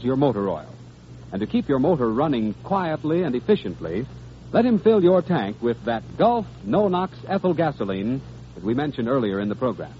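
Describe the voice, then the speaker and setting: An American man reading a 1930s radio advertisement as a commercial announcer. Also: mid-range voice. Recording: muffled old radio broadcast, faint noise.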